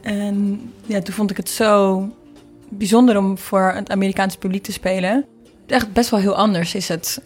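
A woman's voice: mid-range voice, fairly even intonation, normal speed, soft background music. A woman talking casually as an interview guest, telling anecdotes and acting out bits of dialogue. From Netherlands. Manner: informal, anecdotal, re-enacts dialogue. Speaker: singer and producer, podcast guest.

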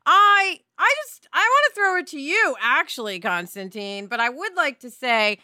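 A woman's voice: high-pitched, sing-song, normal speed, pushed and bright, no room sound, studio quality. A woman hosting a casual podcast chat, effusive and emphatic, often breaking off into asides. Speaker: podcast host.

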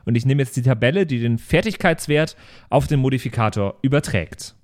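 Man, very lively intonation, mid pitch, casual game-table chat, podcast player. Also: fairly quick.

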